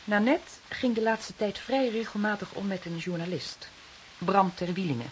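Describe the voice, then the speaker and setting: An actress playing a prim, anxious and stubbornly convinced aunt in a crime drama, speaking earnestly, emphatically and haltingly. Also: female voice, medium pitch, natural voice. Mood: reserved. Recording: hissy recording, faint noise.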